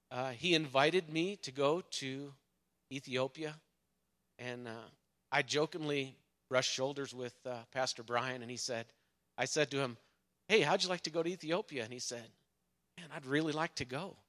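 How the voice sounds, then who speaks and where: A man speaks at an ordinary middle pitch from the front of a church. He introduces a visiting pastor haltingly, with many pauses and fillers. He sounds a little emotional. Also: a bit bright. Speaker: church member introducing a guest. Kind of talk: church announcement. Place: church sanctuary.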